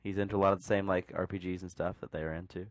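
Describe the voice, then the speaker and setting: Male podcast host, low register, close dry sound, loose conversational delivery with a jokey streak. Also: steady tone, rapid-fire, dry recording. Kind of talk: casual chat.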